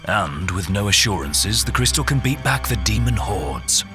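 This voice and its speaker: A composed young strategist in a video game cutscene. His low voice moves expressively through formal, carefully articulated phrases, with music behind him. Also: sing-song, moderate pace. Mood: wary.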